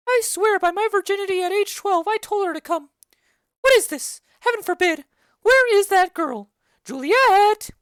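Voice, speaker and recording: A woman voicing a chattering, bawdy old female nurse in a dramatic reading of a play, rambling and loud. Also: very high-pitched, normal speed, vocal fry, no room sound, clean studio sound. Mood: gossipy. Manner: loud, rambling, giggly storytelling.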